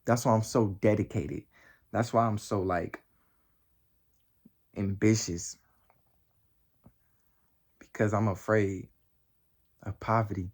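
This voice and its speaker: A young man of nineteen talking straight to his viewers in a motivational vlog. He hammers the same phrases over and over, full of slang and cursing. His voice jumps up high and gets loud whenever he drives a point home. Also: steady tone, slow, pauses often. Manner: emphatic and repetitive, heavy slang and swearing; voice climbs high and loud when pressing a point. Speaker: motivational vlogger. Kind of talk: motivational talk to camera.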